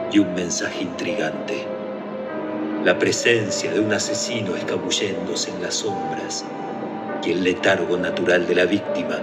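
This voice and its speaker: Solemn radio drama narrator, really slow, deliberate, music behind him. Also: male voice, low-pitched. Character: grave male narrator of a train mystery.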